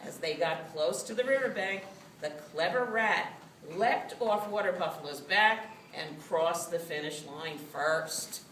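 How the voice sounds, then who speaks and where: A woman narrates a children's story in an expressive way, taking it slowly in a slightly low voice. The recording is roomy. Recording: roomy, distant mic, faint noise.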